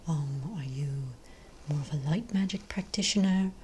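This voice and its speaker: A woman with a low, breathy voice performs a soft-spoken ASMR role play as a friendly keeper of a magic shop. She often drops close to a whisper and rambles gently with hesitant pauses. A faint noise sits behind her voice. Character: friendly long-established magic wand shop owner.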